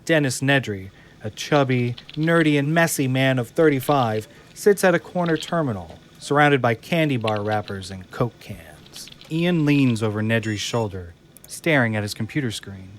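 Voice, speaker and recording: A man's voice: medium pitch, expressive, moderate pace, a little hiss or hum. A man reading scripted stage directions as the narrator of an audio drama. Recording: music under the voice. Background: music.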